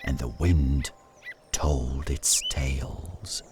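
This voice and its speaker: A man with a very low voice tells a folktale as a scripted narration. His delivery is solemn and dramatic, taken at a very slow, deliberate pace. Music plays clearly behind him. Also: fairly even intonation. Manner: measured, solemn, deliberate phrasing. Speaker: storyteller and narrator. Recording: studio narration over music.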